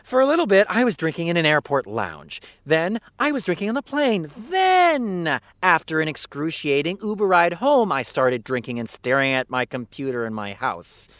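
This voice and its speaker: A flippant, rambling showrunner in an audio drama. His voice sits high and swoops animatedly through sarcastic rants, recorded very dry and close. He sounds sleepless and exasperated. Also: very animated, moderate pace, hardly any pauses, a bit bright, dry recording. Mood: sheepish, weary. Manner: sarcastic, theatrical ranting. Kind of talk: naturalistic audio drama dialogue.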